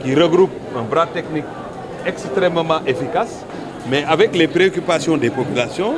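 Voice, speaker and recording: Male local official, Senegalese accent, slightly high-pitched, emphatic press remarks in a busy public space. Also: expressive, moderate pace, background noise. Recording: busy crowd behind, urban public space.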